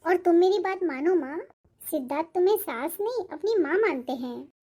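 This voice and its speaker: A loving young cartoon daughter performed by a woman with a very high voice. She is affectionate and insistent. She coaxes gently, in a pleading way. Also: lively intonation, moderate pace. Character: loving, insistent grown daughter about to marry. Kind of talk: Hindi cartoon moral-story voice acting.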